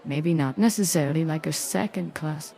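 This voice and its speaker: An actress playing a young private detective in a video game, sardonic, guarded and formally polite. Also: female voice, sits low, moderate pace, gravelly, dark tone. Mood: wry, sceptical. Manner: dry, wry, formally polite. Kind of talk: video game dialogue and narration.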